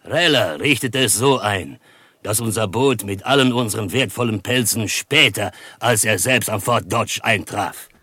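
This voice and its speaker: Solemn, low-voiced captive chief. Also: male voice, sharp tone, breathy edge, no room sound, heavily acted. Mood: grave, bitter. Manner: formal, measured, speaks of himself in third person. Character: proud, solemn male Osage chief held prisoner. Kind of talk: German western radio drama acting.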